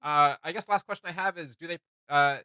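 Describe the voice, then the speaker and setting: Bright, forceful-voiced man chatting as co-host. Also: on the high side, a little fast, clear, resonant tone, dry recording, clean studio sound. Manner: animated, rambling, playfully emphatic. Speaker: co-host of a reaction video channel. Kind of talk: casual chatty reaction commentary.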